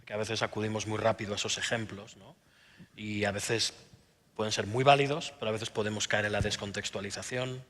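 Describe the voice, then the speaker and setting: A man with a low voice moderates a panel question time. He reads each question quietly and evenly. The big hall around him rings with reverb. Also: fairly even intonation, brisk. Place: conference hall. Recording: reverberant hall, distant from the mic.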